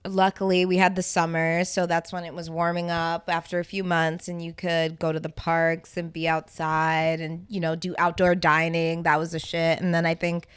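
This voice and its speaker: A woman's voice: on the low side, level tone, moderate pace, few pauses, clean tone, no room sound, clean studio sound. A woman in her twenties, a podcast guest, chatting candidly and swearing freely into a handheld mic in a living room. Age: 20s. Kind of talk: casual podcast chat. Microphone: handheld microphone.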